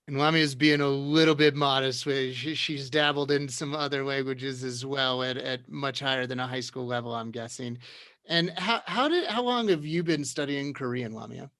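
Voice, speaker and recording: A man's voice: medium pitch, normal speed, fairly bright tone, dry recording. A man hosts an online panel in a chatty, encouraging way, often stumbling and restarting as he asks questions. His voice sits mid-range and sounds close and dry. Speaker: moderator. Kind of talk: hosting an online round-table.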